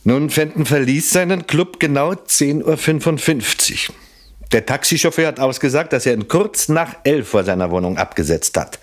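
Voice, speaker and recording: An actor playing a shrewd, persistent police inspector in a German radio crime drama, speaking formally and deliberately as he probes. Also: fairly high pitch, expressive, lightly acted. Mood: probing, methodical. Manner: probing, formal, pointedly deliberate.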